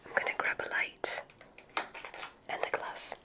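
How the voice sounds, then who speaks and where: A woman whispering softly and breathily in an ASMR roleplay as a gentle, soothing witch doctor, close to the microphone. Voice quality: breathy, mostly unvoiced whisper. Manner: soothing, gentle, unhurried reassurance. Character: kindly witch doctor giving a magic school exam.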